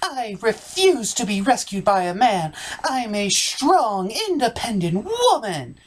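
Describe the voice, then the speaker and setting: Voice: medium pitch, very animated, slow pace, pitched above the performer's own voice. A narrator putting on a proud, defiant heroine. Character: stubborn heroine, proudly independent young woman.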